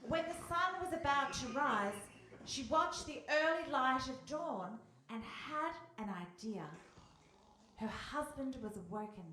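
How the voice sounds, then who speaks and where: A woman's voice: high voice, expressive, unhurried, bright, forceful tone. A woman narrating a scripted fairy-tale radio play live on stage. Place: live stage in a hall with audience. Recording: echoey hall, faint noise.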